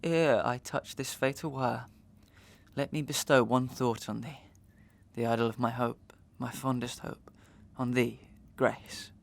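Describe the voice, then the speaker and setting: A man performs a wronged, despairing young gentleman from a Victorian stage melodrama. His middle-pitched voice has a pronounced creak, and he declaims every phrase with noble, archaic passion. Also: steady tone, measured pace. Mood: mournful farewell. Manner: declamatory, archaic, impassioned delivery. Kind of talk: Victorian stage melodrama acting in an audio drama.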